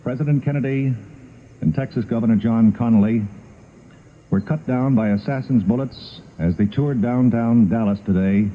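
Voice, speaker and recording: A male network announcer reading a 1960s radio news bulletin in a grave, deliberate way. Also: medium pitch. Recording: phone-like, faintly hissy 1960s radio recording.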